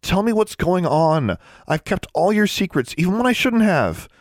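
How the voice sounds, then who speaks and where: A fiery thirteen-year-old girl, as a male narrator puts her on, pitched well above his own register. She is insistent, sharp-tongued and indignant, with lively swings in pitch. It is storybook reading in a very dry, close recording. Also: fast talker, no room sound. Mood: pleading.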